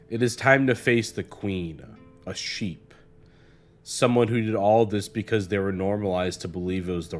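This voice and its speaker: A man narrating a scripted video essay in a measured, earnest way. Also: sits low. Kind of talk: scripted analytical narration of a horror series. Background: guitar music.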